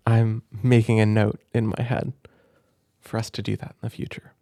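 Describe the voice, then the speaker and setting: A young man doing gentle, affectionate ASMR roleplay, speaking softly and simply to a listener as if reading aloud at bedtime. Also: on the low side, level tone, moderate pace, dry recording, studio quality. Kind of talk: soft intimate ASMR roleplay and storybook reading. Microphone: close microphone in a dry space.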